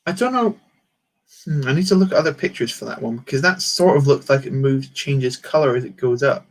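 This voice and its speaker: A man's voice: medium pitch, expressive, fairly quick. A man hosting a casual livestream, rambling and answering chat comments while he builds. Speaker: livestream host.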